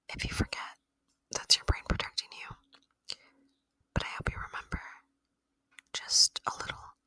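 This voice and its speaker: A woman voicing a gentle, lonely, curious alien in a soft, wistful, intimate ASMR roleplay whisper. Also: no echo, lightly acted.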